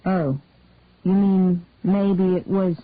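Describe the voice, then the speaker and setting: A woman's voice: fairly low pitch, fairly even intonation, slow, no echo. A woman reading as a sulky grumbling husband. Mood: puzzled. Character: grumpy middle-aged American husband, sulky. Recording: husky, tinny, dry phone-like sound.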